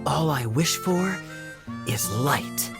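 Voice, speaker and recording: Low-voiced, determined bandit. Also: female voice, animated, slow pace, music in the background, exaggerated voice. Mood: resolute. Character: determined, calm desert bandit in a fairy tale.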